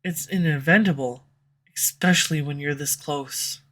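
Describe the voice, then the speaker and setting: A tsundere female villain who is torn between pride and love, her words coming out flustered and defensive before softening into tenderness, as a woman plays her. The tone is bright and forceful. It is an audio roleplay recorded close on a USB condenser microphone at home. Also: sits low, moderate pace.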